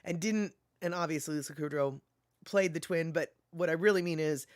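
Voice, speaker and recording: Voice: medium pitch, normal speed, dry recording, clean studio sound. A livestream host chatting casually and reading viewer comments aloud, laughing often and musing while scrolling. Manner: frequent fillers like "let's see here".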